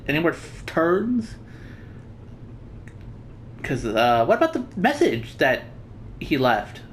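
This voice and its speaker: Slangy, rambling woman gamer, animated pitch. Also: takes it slowly, slight background noise. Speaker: gaming video host. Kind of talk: casual let's play commentary.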